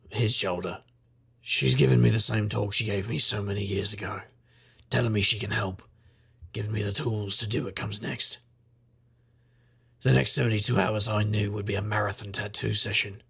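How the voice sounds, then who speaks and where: An actor playing a world-weary, rueful magical investigator, narrating a noir audio drama in a gravelly, husky voice with wry delivery. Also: male voice, fairly low pitch, a bit bright, full character voice. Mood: bleak.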